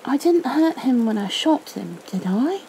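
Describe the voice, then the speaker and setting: A woman's voice: fairly high pitch, very animated, unhurried, warm tone, slight background noise, voice pushed up. A woman voicing a small, earnest, curious boy in a storybook read-aloud. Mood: hopeful.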